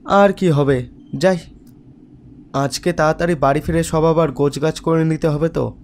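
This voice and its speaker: Cartoon brother role, male actor: young, somewhat high voice, casual and resigned, light background noise. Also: steady tone, normal speed, darkish tone, natural voice. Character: young man, resigned office-working brother. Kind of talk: cartoon voice acting.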